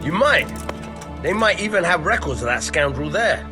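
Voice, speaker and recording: Game role: courteous local man, mid pitch with swooping delivery, clear music underneath. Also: very animated, moderate pace. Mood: helpful. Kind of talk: video game cutscene acting.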